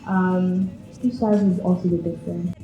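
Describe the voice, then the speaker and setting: A woman's voice: on the low side, little pitch movement, normal speed. A woman in her twenties from Vienna, chatting casually and with a giggly manner in a personal interview. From Vienna, Austria. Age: 20s. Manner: chatty, giggly, breaks into laughter often. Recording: roomy echo, faint music behind.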